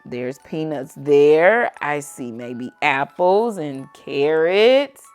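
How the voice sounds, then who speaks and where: Slow-paced woman reading a children's story. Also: low voice, animated, darkish tone, clean tone. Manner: slow, simple phrasing with questions aimed at a young child. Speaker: host reading a children's book aloud to a child. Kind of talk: read-aloud storytelling with simple asides to a child. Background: background music.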